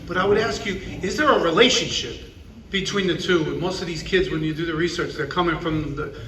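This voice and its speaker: A man in the audience asks a polite question, hesitating and repeating words. His voice is fairly high and sharp. It sounds distant in an echoey hall. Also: brisk, slight background noise. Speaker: audience member.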